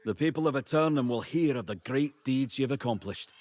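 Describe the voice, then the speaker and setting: An actor playing a kindly ally in a fantasy game, speaking in a formal, gracious way. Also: male voice, mid-range voice, brisk, darkish tone, slight background noise, natural voice. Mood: proud, gracious. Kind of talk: dramatic fantasy game acting.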